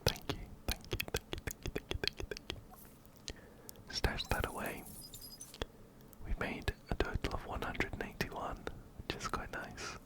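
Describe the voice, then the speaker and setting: A man whispering softly and breathily into a close binaural microphone, giving gentle ASMR game commentary and often repeating words rhythmically. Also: moderate pace. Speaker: ASMR gaming video host. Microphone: close-up binaural microphone, ear to ear.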